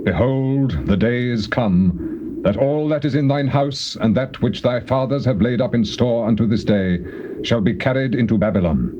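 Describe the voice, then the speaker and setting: A man playing a stern prophet in a scripture drama, proclaiming gravely and emphatically in archaic theatrical style. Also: medium pitch, normal speed, natural voice. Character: Isaiah, stern male prophet proclaiming divine judgment. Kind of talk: dramatized King James scripture, theatrical declamation. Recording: dull, noisy old cassette tape.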